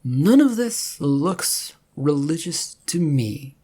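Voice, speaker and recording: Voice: medium pitch, big pitch swings, slow pace, dark tone, husky, exaggerated voice. A narrator playing a bored, mischievous male god with a dry, challenging manner in a story reading. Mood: bored, dismissive.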